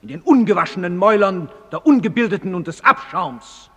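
A haughty Roman general, declaims with wounded pride in a radio drama. His voice is high for a man and rings out in a long hall reverb. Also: animated, a little fast. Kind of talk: theatrical radio drama acting.

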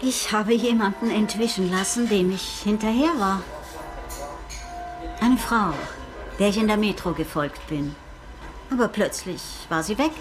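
A woman's voice: medium pitch, unhurried, long pauses, lightly acted. A woman acting an impulsive, curious oceanographer in a radio crime play. She muses aloud in a frank, self-assured, conversational way over faint music. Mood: frank, musing. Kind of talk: radio crime drama dialogue.

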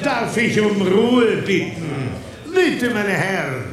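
A man's voice: high voice, expressive, roomy sound, distant mic, crowd noise. A formal congress chairman. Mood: ceremonial. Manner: slow, formal, then puzzled. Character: pompous comedy congress chairman. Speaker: actor in a radio play. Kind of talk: 1980s German radio play acting.

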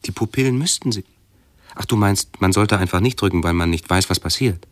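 A man's voice: low voice, brisk, dry recording, clean studio sound, close to an everyday voice. An actor playing a pedantic, hesitant male hypochondriac intellectual who keeps correcting himself in a radio play. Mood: pedantic, uneasy.

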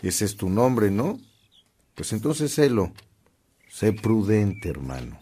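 An actor playing a humble, forbearing priest in a radio drama, speaking in a measured, earnest way. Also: male voice, fairly low pitch, very animated, warm tone, slightly rough, exaggerated voice. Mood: calm, earnest. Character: humble, forbearing priest, adult man of calm conviction.

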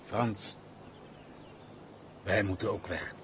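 An actor playing a bitter, curt, reclusive gentleman who shuns people, in a Dutch period radio play. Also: lowish voice, steady tone, drawn-out words, slight background noise. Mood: brooding, weary.

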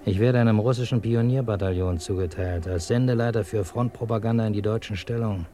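An actor in a German radio drama playing a cynical intelligence contact, clipped, wry and matter-of-fact. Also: male voice, on the low side, quick pace, warm tone, slight background noise, natural voice. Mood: businesslike. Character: dry, cynical male intelligence contact, coolly businesslike.

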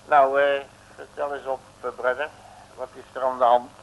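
An actor playing a brash, folksy and jovial detective who talks in slang, in a 1960s radio serial. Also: male voice, mid-range voice, little pitch movement, moderate pace, close to an everyday voice. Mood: confiding. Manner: colloquial, folksy, blustering. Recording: old noisy radio recording, faint hiss.

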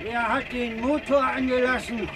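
A man's voice: very high-pitched, fairly even intonation, moderate pace, noisy background. An older man acting a grumpy, stubborn old fisherman in a 1950s radio drama, querulous and scolding. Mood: irritated.

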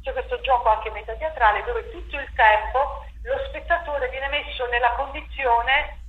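A woman with a fairly high voice, a playwright and director, talks animatedly about her work. She thinks aloud, often restarts and doubles back, and keeps the talk going. The room is echoey, with a faint hiss underneath. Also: moderate pace, narrow, tinny audio. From Italy. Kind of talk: interview about a stage play.

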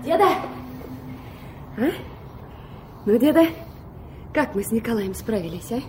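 A woman's voice: a little high, animated, measured pace, a little mellow. An actress in a Soviet radio drama playing a dreamy, playful young girl, a granddaughter full of wondering, coaxing questions. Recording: noisy 1970s radio play recording.

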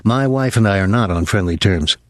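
A man's voice: lowish voice, a little fast, no room sound, studio quality, close to an everyday voice. A man voicing a blunt, short-tempered father in an audiobook, curt and plain-spoken with a bitter edge.

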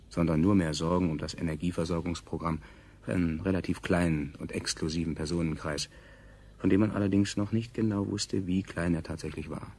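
An actor playing a detached narrator in a science fiction radio play, speaking in a dry, ironic, wordy way. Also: male voice, low voice, a little mellow, slightly rough. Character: detached, ironic male narrator recounting a catastrophe. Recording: faint noise, 1970s radio production.

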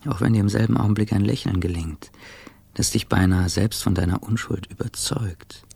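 An actor playing a wry, fatalistic male narrator in a radio play, speaking in a gravelly, deliberate, ominous way over faint background noise. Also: lowish voice, darkish tone, dry recording.

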